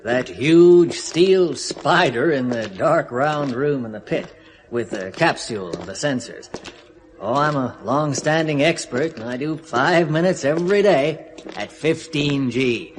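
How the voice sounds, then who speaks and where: A detached astronaut. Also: male voice, fairly high pitch, animated, measured pace, a little hiss or hum. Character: astronaut man, trained and emotionally detached. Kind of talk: 1970s radio drama acting.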